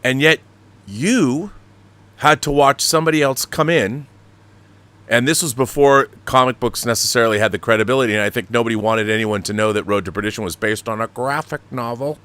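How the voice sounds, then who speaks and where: Bright, forceful male interviewer. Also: mid-range voice, brisk. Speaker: host, interviewer. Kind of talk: conversational interview.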